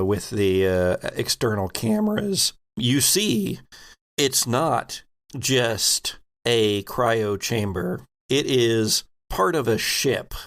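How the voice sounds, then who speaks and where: A man with a gravelly edge to his mid-pitched voice, acting as game master. He narrates casually and haltingly, thinking aloud between rules and scene description.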